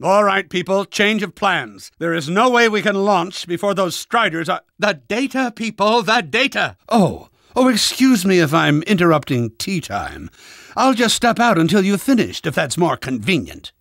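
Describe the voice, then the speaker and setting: Game role: irritable older male rocket scientist, fussy and pompous, very animated delivery, dry close recording. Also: high-pitched, normal speed, creaky, no room sound, full character voice. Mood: urgent, bossy, then sarcastic, exasperated. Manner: pompous, sarcastic, impatient, fussy enunciation. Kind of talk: video game character voice acting.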